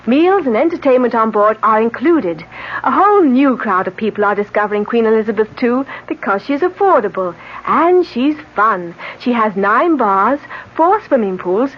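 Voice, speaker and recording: A woman reading a scripted 1970s radio advertisement as an announcer. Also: a little high. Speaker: commercial announcer. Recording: dull old radio broadcast.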